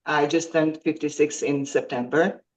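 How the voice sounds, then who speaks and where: A woman, a guest on an interview podcast, speaking hesitantly with many ums and pauses while she searches for words. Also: sits low, fairly even intonation, a little mellow, rough recording. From Croatia. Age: 50s. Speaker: guest athlete.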